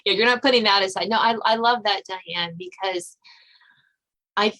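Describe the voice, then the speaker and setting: A woman's voice: mid-range voice, normal speed, sharp tone, rough recording. A woman hosting a podcast interview in an informal, agreeable way, thinking aloud as she goes. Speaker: podcast host. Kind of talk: conversational interview.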